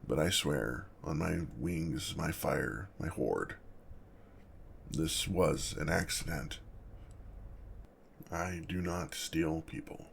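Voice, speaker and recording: Man voicing a very deep, gravelly, awkward gentle dragon. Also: slight background noise, heavily acted. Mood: remorseful. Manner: halting, flustered, self-correcting, measured. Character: awkward, apologetic, gentle male dragon who loves treasure. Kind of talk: ASMR roleplay.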